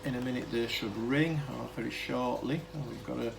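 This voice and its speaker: Man explaining haltingly, noisy recording. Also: mid-range voice. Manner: hesitant, rambling, self-interrupting explanation. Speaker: hobbyist demonstrator of vintage telephone equipment. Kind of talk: informal unscripted demonstration. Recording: clearly noisy amateur camera recording.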